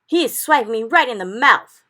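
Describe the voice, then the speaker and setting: A woman voicing angry, brutish male storybook giants, yelling in muddled grammar. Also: fairly high pitch, animated, moderate pace, voice pushed up. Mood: outraged. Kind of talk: storybook character voice.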